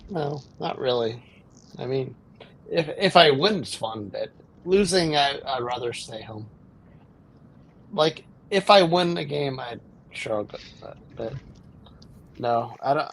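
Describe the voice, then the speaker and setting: A man in his thirties chatting casually, quiet and halting, with a husky voice. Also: mid-range voice, lively intonation, a little hiss or hum, poor audio. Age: 30s. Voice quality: husky, gravelly voice. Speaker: guest. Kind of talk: casual chat. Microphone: poor mic.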